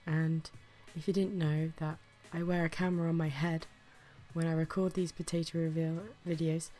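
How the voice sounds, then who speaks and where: A woman, sick with the flu and audibly breathy, chatting casually and self-deprecatingly as she narrates a gardening vlog. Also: low-pitched, level tone, moderate pace, warm tone, soft background music. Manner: chatty, self-deprecating, with occasional whispered asides. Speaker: gardening vlogger.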